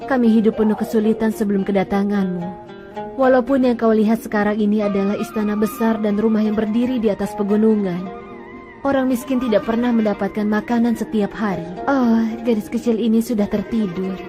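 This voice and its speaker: A woman's voice: medium pitch, steady tone, brisk, close to an everyday voice. A woman playing a humble, grateful village woman in a dubbed cartoon, speaking earnestly over music. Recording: music bed under dialogue.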